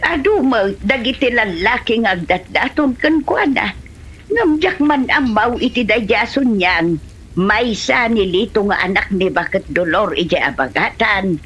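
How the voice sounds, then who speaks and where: An actress plays a stubborn Filipino farm woman in a village comedy drama. She is exasperated and set on staying single, and speaks at a middling pitch. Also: expressive, unhurried, fairly bright tone, breathy edge, poor audio. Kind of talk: comedy radio-style drama acting.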